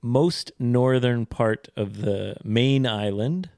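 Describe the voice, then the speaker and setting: A man in his thirties co-hosting a casual chat, teasing and playful, his pitch leaping up when he jokes. He speaks slowly and deliberately, in a middle register. The recording is close and dry. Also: warm tone, no echo. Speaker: podcast co-host, English teacher.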